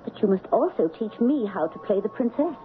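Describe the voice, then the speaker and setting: An actress playing a noble young princess torn between love and duty, in earnest, breathy 1970s radio drama style. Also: female voice, medium pitch, very animated, fairly quick, soft background music, close to an everyday voice. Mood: resigned, tender. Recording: dull, hissy old radio recording.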